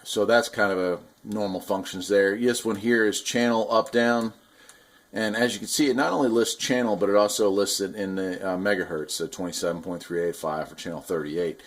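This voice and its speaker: A man giving a casual, unscripted product review, explaining things hands-on in a rambling, enthusiastic hobbyist way. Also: fairly low pitch. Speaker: radio hobbyist reviewer and video host.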